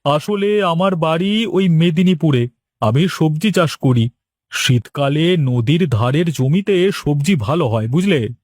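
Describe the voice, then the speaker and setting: A man's voice: fairly high pitch, slow, a little mellow, dry recording, clean studio sound. A man playing a polite, patient village vegetable farmer in a Bengali cartoon. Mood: polite, earnest. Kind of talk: cartoon story dialogue.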